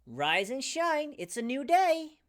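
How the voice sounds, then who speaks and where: A peppy roommate who loves mornings, as acted out by a streamer, pitched well up high. The delivery is cheery and singsong, with long stretched words and big swoops. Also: very animated, slow pace, heavily acted. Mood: singsong cheer.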